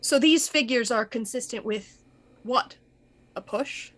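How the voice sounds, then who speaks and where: Bright-voiced actress as a shrewd lady inspector. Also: a little high. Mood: musing. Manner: deliberate, probing, measured. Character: shrewd, composed female police inspector, grimly businesslike. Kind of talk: scripted radio murder mystery acting.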